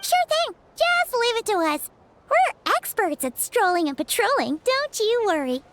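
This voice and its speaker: A woman voicing a tiny, excitable female fairy companion in a video game, with a squeaky childlike voice and an exclamatory, chatty manner. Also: unhurried, slight background noise, heavily acted. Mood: cheerful, confident. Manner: refers to self in third person, exclamatory. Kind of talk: animated video game acting.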